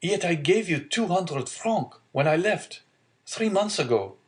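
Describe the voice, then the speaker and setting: Man acting an eager young sailor, pitched up. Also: animated, measured pace. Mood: concerned, distressed. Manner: earnest, exclamatory, urgent.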